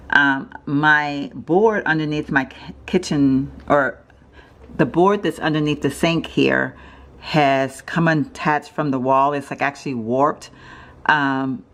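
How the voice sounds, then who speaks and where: Vlogger, female, middle-aged, from Texas; low, raspy allergy voice, rambling chatty delivery, filmed on a camera mic in her kitchen. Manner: rambling, chatty, many fillers and self-corrections. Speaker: home organizing vlogger. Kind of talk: casual chatty home vlog. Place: home kitchen, indoors. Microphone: handheld camera mic, filming off screen.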